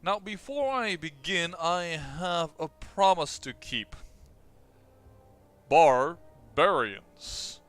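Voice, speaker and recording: Excitable Dutch man commentating a game. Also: a little high, clear, resonant tone. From Netherlands. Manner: excitable, talks quickly with restarts. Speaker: let's play host.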